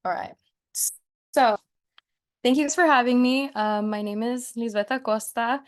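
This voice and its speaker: A woman's voice: on the high side, a little slow. A young woman in her twenties, born in Mexico, giving an encouraging, casual presentation on a video call with fillers. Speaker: PA student speaker. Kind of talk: online workshop presentation.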